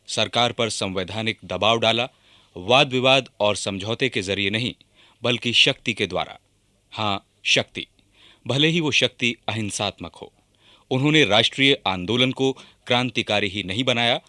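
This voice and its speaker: A writer making his point, lowish bright forceful voice, emphatic, radio drama. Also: dry recording, clean studio sound. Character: English writer soberly assessing India's freedom movement. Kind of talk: formal quoted commentary in radio documentary drama.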